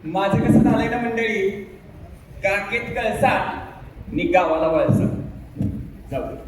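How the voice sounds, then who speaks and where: Man acting cheerful forgetful husband, stage comedy, very high voice, chatty, theatrical, distant mic. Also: slow pace, very echoey, very noisy. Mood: chatty, puzzled. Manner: chatty, theatrical, addresses the audience. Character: cheerful, absent-minded middle-class husband, elder son.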